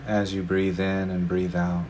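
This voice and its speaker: A man with a very low voice leads a guided meditation. He talks slowly and gently and leaves long pauses between phrases. A faint background noise sits under his voice. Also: monotone. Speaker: meditation teacher.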